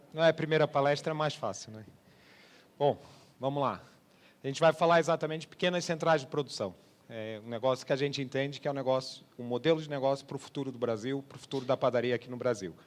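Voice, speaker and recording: A man with a medium-pitched voice and a Brazilian accent carrying a Portuguese tinge. He presents at a business conference in a conversational, explanatory way, often adding small tags to check that listeners follow. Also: fast talker. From Brazil (born Rio de Janeiro) and Portugal. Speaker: sales manager giving a conference talk. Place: trade congress presentation space.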